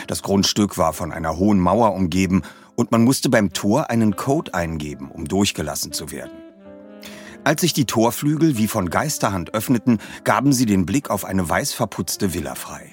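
A man narrates a detective story in a fairly low voice. His delivery is measured and clearly articulated, with expressive melody and faint music.

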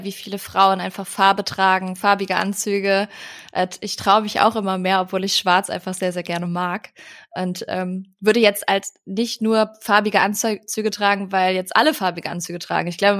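Flat-voiced hesitant woman podcast host. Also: medium pitch, moderate pace. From Rhineland, Germany. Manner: hesitant, many fillers, even delivery. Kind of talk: podcast interview.

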